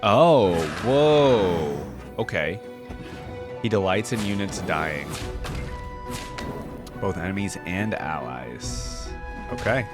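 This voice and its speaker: A man's voice: deep voice, lively intonation, slow, pauses often, music in the background. A man hosting a reaction video, giving casual, informal and exclamatory commentary.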